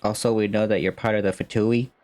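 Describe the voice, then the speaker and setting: A woman's voice: a bass voice, fairly even intonation, fast, noisy background. A woman giving casual gaming commentary with slangy, teasing asides. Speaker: game streamer reacting to a story quest.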